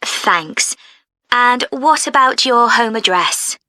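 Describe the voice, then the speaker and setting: A woman playing a friendly, efficient club receptionist, asking clear, helpful questions in a scripted listening-test dialogue. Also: on the high side, animated, slow pace, pushed and bright, dry recording, exaggerated voice. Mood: friendly, businesslike.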